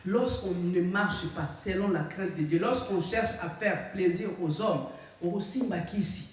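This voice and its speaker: A woman's voice: fairly low pitch, unhurried. A woman pastor preaching a sermon and calling out "Alléluia" for her congregation to answer. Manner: preaching with frequent calls of "Alléluia" for congregational response. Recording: very echoey, mic far off. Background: congregation answering "Amen".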